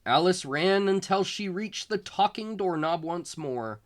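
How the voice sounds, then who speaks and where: Male storybook reader, tutor for young kids, unhurried pace, very varied pitch, expressive delivery. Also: dry recording, studio quality. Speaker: tutor reading a storybook aloud to young children. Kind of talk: children's storybook read-aloud.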